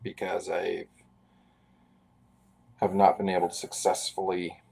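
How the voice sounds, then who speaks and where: A man's voice: low voice, monotone, measured pace, creaky, darkish tone, husky. A man in his forties from Canada, chatting casually and rambling with self-deprecating asides while he draws on a live stream. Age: 40s. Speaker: artist live-streaming a drawing.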